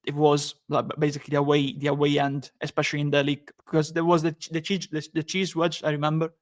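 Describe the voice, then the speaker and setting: Flat-toned man hosting a podcast. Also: fairly high pitch. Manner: halting, many restarts, upbeat. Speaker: podcast host. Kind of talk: casual football podcast chat.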